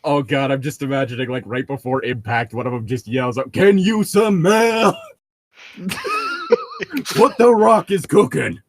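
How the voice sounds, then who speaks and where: A man's voice: medium pitch, unhurried, no echo. A man chatting casually and jokingly with friends, prone to breaking into laughter. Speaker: co-host reading a book aloud with friends. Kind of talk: casual joking group chat.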